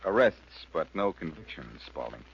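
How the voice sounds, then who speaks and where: An actor playing a cocky, breezy amateur detective and reformed thief in 1940s radio style. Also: male voice, a bass voice, very animated, normal speed, vocal fry, exaggerated voice. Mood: assured. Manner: breezy, teasing, self-assured. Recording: noisy, muffled old radio recording.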